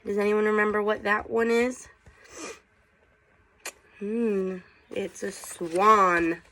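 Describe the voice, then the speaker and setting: Lady teacher doing a read-aloud for kids, takes her time, normal pitch, keeps asking them little questions. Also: little pitch movement, fairly bright tone. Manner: unhurried, inquisitive, prompting listeners with questions. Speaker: teacher reading aloud to students. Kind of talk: picture-book read-aloud with casual questions to children.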